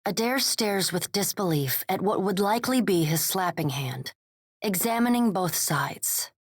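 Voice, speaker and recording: A woman's voice: mid-range voice, expressive, measured pace, husky, no room sound, clean studio sound. A woman narrating a fantasy video game in a composed, descriptive storytelling style.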